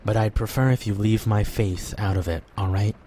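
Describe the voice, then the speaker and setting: A man's voice: on the low side, fairly even intonation, moderate pace, warm tone, close to an everyday voice. A man acting as an earnest, devout young man in a fan audio drama, speaking politely and sincerely, a little hesitant. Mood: politely firm. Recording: clearly noisy background ambience.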